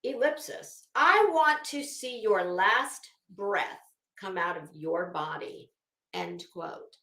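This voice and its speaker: Theatrical American woman with sweeping pitch. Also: lively intonation, bright, forceful tone, echoey room. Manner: theatrical, emphatic delivery with deliberate drawn-out phrasing and asides. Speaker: commentary show host. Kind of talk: dramatic reading of legal documents with casual commentary.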